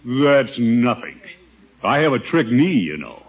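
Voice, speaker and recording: Pompous, swooping radio-comedy uncle. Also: male voice, medium pitch, sing-song, measured pace, husky, full character voice. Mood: boastful. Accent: American. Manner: pompous, theatrical, blustering. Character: pompous, blustering middle-aged bachelor uncle given to tall tales. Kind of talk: 1950s radio situation comedy acting. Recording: dull old 1950s radio recording.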